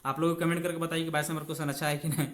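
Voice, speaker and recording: A man's voice: on the high side, flat delivery, rapid-fire, a bit bright. A male teacher. He reads out exam questions and explains them step by step in an informal way. He speaks to students like friends, repeats key phrases and slips in English technical words. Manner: mixes English technical terms into Hindi. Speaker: physics teacher.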